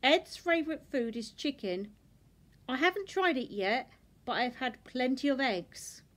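Woman playing an eager young meerkat, raised pitch. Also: expressive, unhurried. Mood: chatty. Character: young male meerkat, eager, earnest letter writer.

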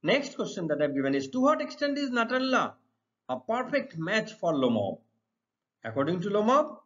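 A middle-aged man with an Indian accent, teaching English to students in deliberate, emphatic, repetitive phrases. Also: a little high, a bit bright. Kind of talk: exam lesson explained to students. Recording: dull, lo-fi sound.

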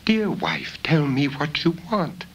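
A man's voice: fairly high pitch, huge pitch swings, slow, fairly bright tone, husky, voice pushed up, exaggerated voice. A man voicing an impatient rich lord with a formal, exasperated manner in a storybook reading. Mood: pleading exasperation. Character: rich lord, impatient husband, reasonable then commanding.

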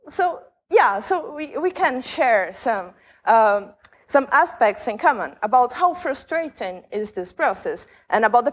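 Brazilian woman giving a conference talk. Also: on the high side. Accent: Brazilian Portuguese. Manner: conversational, many fillers and restarts. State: jet-lagged. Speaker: conference speaker. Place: large lecture hall. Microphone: stage mic, camera from far back.

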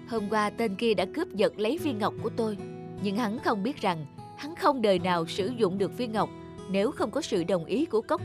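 A woman's voice: mid-range voice, close to an everyday voice. A woman voicing a kindly, humble young male scholar in a cartoon folk tale, speaking politely and earnestly.

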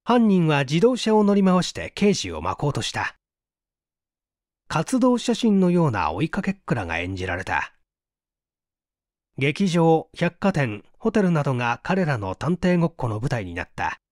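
Expressive male storyteller, lively intonation. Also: fairly high pitch, moderate pace, no room sound, studio quality. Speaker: narrator of an audiobook channel. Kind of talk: dramatic literary reading, scripted narration.

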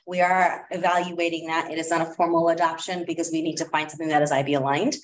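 A woman's voice: low-pitched, flat delivery, quick pace. A woman presenting a curriculum recommendation at a school board meeting, talking casually with many fillers. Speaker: district administrator presenting curriculum. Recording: echoey room, voice far from mic.

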